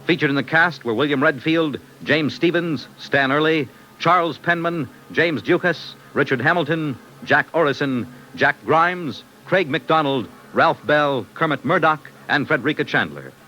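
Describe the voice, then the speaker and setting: A man's voice: fairly high pitch, normal speed, sharp tone, slight background noise, poor audio. A man announcing on 1950s network radio with a formal, polished delivery.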